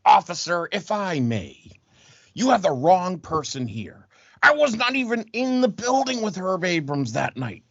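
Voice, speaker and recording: Slick scheming promoter played by a man, fairly high, lively pitch swings, emphatic comedy audio play role. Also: a little slow, dry recording, heavily acted. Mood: slick, insistent. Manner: emphatic, smooth-talking self-defense. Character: slick, scheming male wrestling promoter pleading innocence. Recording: dry, dull lo-fi home recording.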